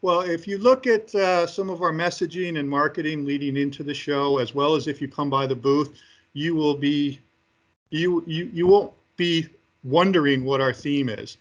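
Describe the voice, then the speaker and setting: A man giving interview answers as a company executive presenting products, speaking haltingly with frequent hesitations between phrases. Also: a little high, steady tone.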